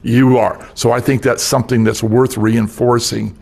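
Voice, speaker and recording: A man making measured, explanatory remarks in a policy meeting. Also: mid-range voice, little pitch movement, gravelly, a little mellow. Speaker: meeting participant. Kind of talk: meeting comment.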